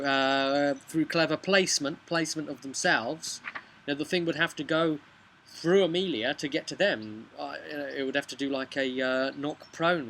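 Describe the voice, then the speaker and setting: A man in his thirties tells the story of a tabletop game session in a casual, animated way. His voice is mid-pitched and bright, with a forward edge. He is recorded outdoors on a camera mic, with some faint background noise. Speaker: game master recapping his campaign. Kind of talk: casual storytelling vlog about a tabletop role-playing session. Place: outdoors on a bench beside a brick house. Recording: noisy outdoor home video recording.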